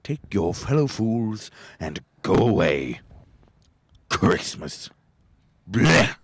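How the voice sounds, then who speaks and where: Radio play: bitter old miser played by a man, deep, gruff, highly animated, scornful. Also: extremely slow, no room sound, full character voice. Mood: angry, scornful. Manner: gruff, scornful, barking outbursts. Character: cold, miserly, bad-tempered old businessman uncle. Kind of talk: radio play acting, 1930s adaptation.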